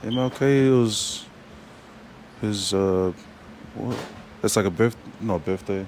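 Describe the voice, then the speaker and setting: A young man with a New Zealand accent, a rugby player chatting as a guest in a casual interview, halting and full of fillers and restarts. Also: lowish voice, a little mellow, a little hiss or hum.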